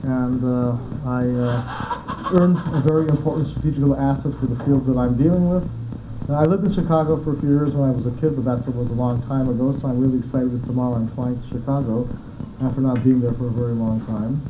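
A man's voice: mid-range voice, fairly even intonation, brisk. An Israeli-born man with an American accent giving a university lecture, hesitant with many uhs. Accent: American English. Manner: many filler uhs, earnest. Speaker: guest lecturer. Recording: echoey room, noisy.

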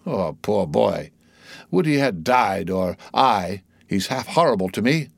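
A man's voice: mid-range voice, vocal fry, no echo. A man voicing a jocular old sailor who mutters exclamatory asides to himself in a theatrical storybook reading. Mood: pitying.

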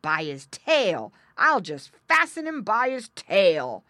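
A big greedy storybook bear, acted out by a woman, growling and gloating. The words are loud, slow and drawn out, with menacing swoops in pitch. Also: very animated, no echo, clean studio sound. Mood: scheming, pondering. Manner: growling, drawn-out, emphatic delivery.

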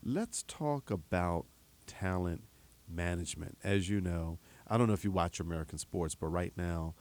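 A man's voice: deep voice, a little mellow. A man hosting a radio interview program, often stumbling and repeating words as he asks questions. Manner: halting, repeats words when starting questions. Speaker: radio host. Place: radio studio. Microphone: studio microphone.

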